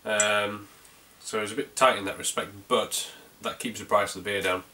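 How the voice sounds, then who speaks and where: A man's voice: fairly low pitch, steady tone, normal speed, bright, forceful tone. A man with a North Yorkshire accent chatting casually through a beer review, rambling a little with plenty of ums and restarts. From North Yorkshire, England.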